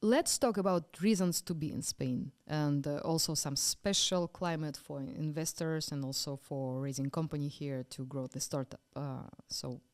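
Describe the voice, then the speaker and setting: Low, mellow Ukrainian-accented woman. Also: moderate pace, few pauses. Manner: hesitant, many fillers and restarts. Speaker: podcast host. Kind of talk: podcast interview.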